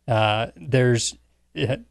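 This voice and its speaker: A man speaks as a podcast co-host in casual film chat. His middle-pitched voice sounds close and dry, and he tells things in a halting, conversational manner. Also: gravelly, dry recording.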